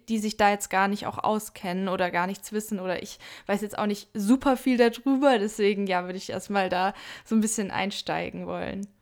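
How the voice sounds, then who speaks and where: A woman hosting a casual podcast interview, chatty and loose, with lots of fillers. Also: fairly high pitch. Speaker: podcast host.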